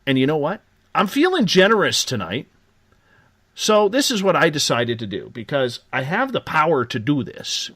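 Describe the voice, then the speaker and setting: A man's voice: a little high, sing-song, moderate pace, fairly bright tone, dry recording, clean studio sound. A man hosting a live late-night radio talk show, giving upbeat, joking promotional patter. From Canada.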